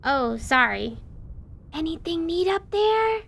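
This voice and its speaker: A woman's voice: very high-pitched, sing-song, takes it slowly, gravelly, a bit bright, voice pushed up, exaggerated voice. A woman voicing an eager, childlike young female adventurer in an audio drama, with breezy, naive, casual phrasing. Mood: eager, loud.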